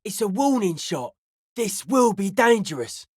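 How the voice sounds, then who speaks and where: A woman voicing a suspicious, aloof young hardcore raver in a video game, speaking in deadpan, clipped, cryptic pronouncements. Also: mid-range voice, a little slow, slightly rough, no echo, studio quality. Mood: deadpan, wary. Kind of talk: video game character dialogue.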